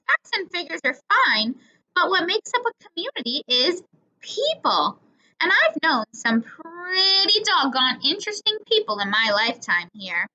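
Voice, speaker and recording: Lady reading as a chatty old storybook grandma, high and bright, folksy, says what she thinks, bit lo-fi. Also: very animated, measured pace, exaggerated voice. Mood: frank, folksy. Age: older. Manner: plainspoken, opinionated, rambling. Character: outspoken, folksy old grandmother reminiscing to a classroom. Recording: dull lo-fi recording.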